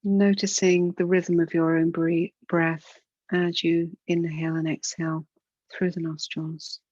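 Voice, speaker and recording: A woman instructor leading a guided yoga relaxation and breathing practice in a soothing, unhurried, gentle manner with pauses. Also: on the low side, level tone, dry recording, dull audio.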